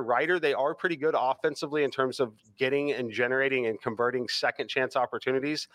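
A man giving college basketball betting picks in a steady, stat-heavy way. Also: medium pitch, no room sound, low-quality recording. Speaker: sports betting analyst.

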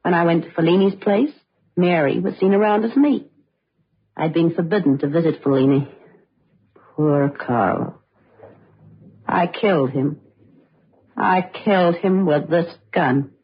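An actress playing a bitter young heiress confessing to murder, with theatrical delivery in an old-time radio crime drama. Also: female voice, on the low side, measured pace, long pauses, slightly rough. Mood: rueful confessing. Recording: dull lo-fi old radio recording.

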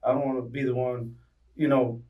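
A man's voice: medium pitch, flat delivery, moderate pace, distant mic, phone-quality sound. A man in his thirties, a guest on a podcast, telling stories in a laid-back, slangy way, often repeating words. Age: 30s. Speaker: guest, business owner. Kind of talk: casual storytelling.

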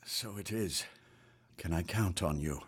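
An actor playing a tired, authoritative middle-aged chief doctor in a period radio drama. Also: male voice, deep voice, a little slow, slightly rough. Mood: stern.

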